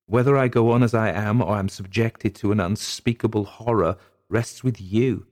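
A man's voice: lowish voice, steady tone, moderate pace, a little mellow, pitched above the performer's own voice, lightly acted. A man voicing a genteel, anguished wife who pleads in formal, melodramatic period language, as in a dramatic audiobook. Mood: tender, desperate. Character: anguished young gentlewoman, genteel, pleading, dreading a cruel husband.

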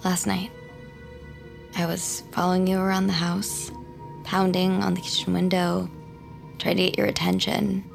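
A woman's voice: lowish voice, flat delivery, measured pace, vocal fry, long pauses, soft background music. A young woman playing a guarded, haunted character in an audio drama. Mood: haunted, hesitant. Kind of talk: audio drama acting and narration.

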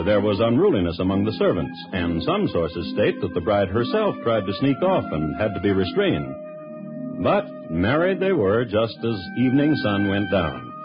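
An actor playing a dry, droll historian who narrates an old-time radio drama in a wry, measured, deliberate way. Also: male voice, fairly low pitch. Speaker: host and narrator. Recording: dull, lo-fi old radio recording with music.

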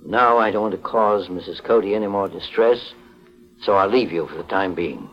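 Man playing a low-voiced, courteous, stern investigator. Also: faint music behind, close to an everyday voice. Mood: courteous, composed. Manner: measured, formal, authoritative. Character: calm, courteous, stern veteran male private investigator. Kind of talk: 1940s radio detective drama acting. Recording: muffled 1940s radio recording.

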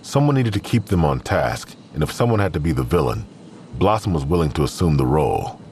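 A man with an extremely low voice reads scripted crime narration. His pitch moves in an animated way, over faint background noise. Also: a little fast.

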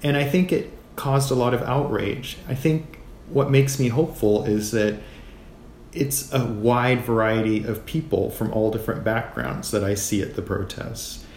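An American man speaking as an interview guest in a measured, thoughtful way, pausing between short phrases. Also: mid-range voice, darkish tone. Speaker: podcast guest, physician. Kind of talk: reflective interview talk. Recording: roomy echo, clear background noise.

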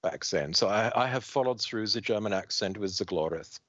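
Man, deep voice, lively pitch, comic recap podcast host, expressive reading, dry lo-fi sound. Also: a little fast, no room sound. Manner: expressive reading, acts out dialogue. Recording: dry, slightly dull lo-fi sound.

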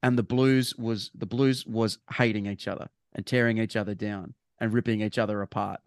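A man's voice: fairly low pitch, fairly even intonation, moderate pace, dry recording, clean studio sound. A man with an Australian accent chatting casually as a podcast co-host, rambling and restarting his phrases, with bursts of emphasis. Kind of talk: casual sports chat.

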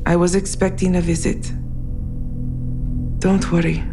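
Resigned, low-voiced woman, over music. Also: level tone, measured pace. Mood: calm resignation. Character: resigned, guilt-ridden woman calmly awaiting her killer. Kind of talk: audio drama acting. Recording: music clearly underneath.

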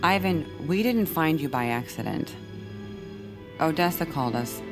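Low-voiced, icily calm woman doctor. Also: over music. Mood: probing, cool. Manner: measured, clinical, condescending. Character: calm, controlling female psychiatrist, coolly menacing. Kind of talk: audio drama acting.

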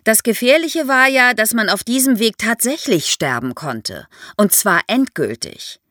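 Female expert guest for an explainer podcast, lively intonation, vivid storytelling manner, very dry studio sound. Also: a little high, a little slow, no room sound. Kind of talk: explanatory podcast interview for young listeners.

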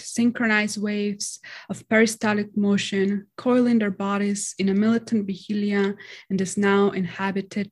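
Woman poet, measured even reading, mid-pitched voice. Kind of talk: poetry reading.

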